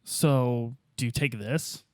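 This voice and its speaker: A man's voice: medium pitch, slow, a little mellow, no echo, clean studio sound. A man playing in a tabletop roleplay game, improvising casual, slangy dialogue in a blunt, joking way. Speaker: player in a tabletop roleplay podcast. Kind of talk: casual improvised in-character chat.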